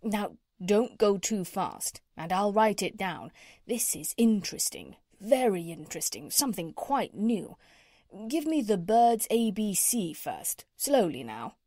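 A woman voicing a kindly, honest and curious gentleman doctor in a children's storybook, polite and earnest. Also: mid-range voice, big pitch swings, unhurried, no echo, studio quality. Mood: excited, curious. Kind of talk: children's storybook character reading.

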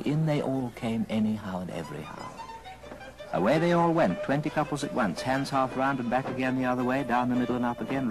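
A man's voice: mid-range voice, low-quality recording. A man narrating a classic story in a dramatic old radio style. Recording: old record with music underneath. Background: music.

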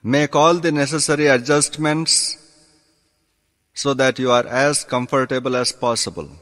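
A man teaching yoga through guided meditation, calm and deliberate, giving instructions in short measured phrases. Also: medium pitch. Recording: long reverberant hall sound.

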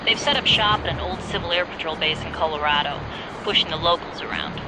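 A woman's voice: fairly low pitch, brisk, very noisy, natural voice. An actress playing a composed, dry-witted female intelligence partner in a video game. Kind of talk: video game cutscene acting.

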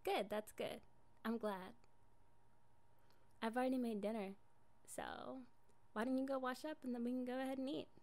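A woman's voice: fairly high pitch, fairly even intonation, moderate pace, long pauses, darkish tone, lightly acted. A young woman playing an affectionate, jealous girlfriend in an improvised ASMR roleplay, casual and coaxing in an intimate way. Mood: affectionate, chatty.

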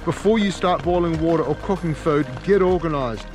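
A man's voice: fairly high pitch, brisk, over music. A man, giving encouraging camping tips to camera outdoors by his tent. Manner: upbeat, encouraging, direct to camera. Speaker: outdoor channel host. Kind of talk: instructional outdoor vlog. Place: outdoors on a mountain meadow by a tent. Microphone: camera at arm's length.

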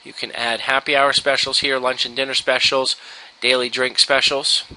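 An American man giving an informal software tutorial, explaining step by step in a casual, instructional way. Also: medium pitch, flat delivery, normal speed, poor audio. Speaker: software trainer. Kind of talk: informal screen-share tutorial. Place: indoors, at a computer.

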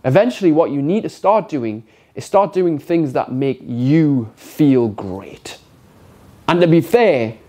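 A man in his thirties speaks straight to the camera in an unscripted motivational talk, his nose blocked and stuffy. He is blunt and emphatic and swears freely. His voice sits in a mellow, dark register of middling pitch. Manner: frank and emphatic, swears often. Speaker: motivational vlogger. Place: indoor studio with brick wall.

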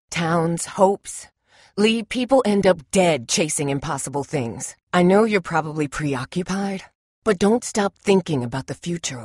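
A tough woman survivor in a dramatic video game, with a low, husky voice. She is blunt and no-nonsense yet caring, and her pitch moves widely as she speaks. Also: darkish tone, no room sound, studio quality. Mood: firm, urgent.

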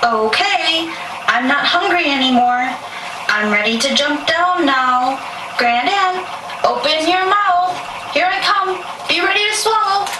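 A woman's voice: extremely high pitch, slow pace. A woman playing a clever, brave young girl in a puppet show. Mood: eager. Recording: echoey, distant, slightly noisy stage recording.

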